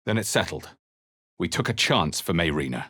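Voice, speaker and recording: A low, slightly husky male adventurer for a fantasy game. He is sober and pragmatic, delivering short remarks with dry, measured understatement. The sound is close and dry, with little room around it. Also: very animated, a bit bright, no room sound, heavily acted. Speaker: video game player character. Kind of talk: video game voice lines.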